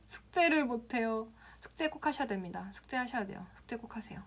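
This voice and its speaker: A woman's voice: a little high, animated, brisk, fairly bright tone. A young woman from the Gyeongsang region teaching Instagram, answering viewer comments on a casual live stream and laughing often. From Gyeongsang province, Korea.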